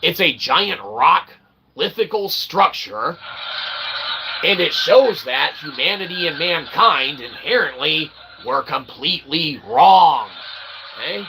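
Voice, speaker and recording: Gravelly sarcastic man with noise behind. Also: on the high side, animated, takes it slowly. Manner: sarcastic, self-mocking, keeps asking "okay?". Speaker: independent channel host. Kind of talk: rambling self-promotional monologue.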